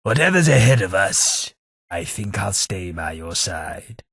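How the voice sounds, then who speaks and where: A man's voice: sits low, big pitch swings, a little slow, vocal fry, a bit bright, husky, dry recording, heavily acted. An actor playing a prim, snobbish male companion in a video game, with a dry, sardonic delivery.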